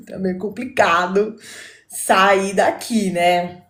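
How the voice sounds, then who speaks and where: A woman from São Paulo hosting a live chat over a video call, affectionate and quick to laugh. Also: mid-range voice, echoey room. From São Paulo, Brazil. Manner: warm, giggly, affectionate. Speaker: host.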